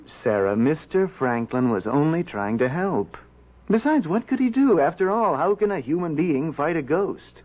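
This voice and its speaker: A nervous, evasive young fiancé in a vintage radio drama. His voice is husky and fairly high, with lively swings as he hesitates and defends himself. The recording is somewhat dull. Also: moderate pace. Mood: placating. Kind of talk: 1970s radio drama acting.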